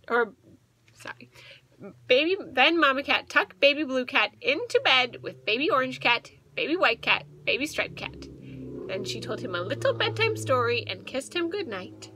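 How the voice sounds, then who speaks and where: A woman in her thirties reading a storybook aloud to children as a teacher, expressive and asking the listeners questions. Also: high-pitched, moderate pace, slight background noise. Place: small indoor room with bookshelves.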